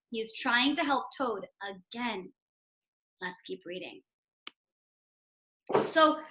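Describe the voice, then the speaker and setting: A woman's voice: very high voice, pushed and bright. A woman teacher giving a read-aloud lesson for young children, instructive and emphatic, often checking in with a questioning tag. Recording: roomy, echoing sound.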